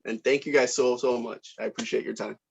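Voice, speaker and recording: A man's voice: medium pitch, steady tone, fairly quick, creaky, slight background noise. A man leading a casual online production meeting as director, speaking informally and appreciatively, with hesitant phrasing. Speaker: student film director running a table read meeting. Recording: dull lo-fi online call audio.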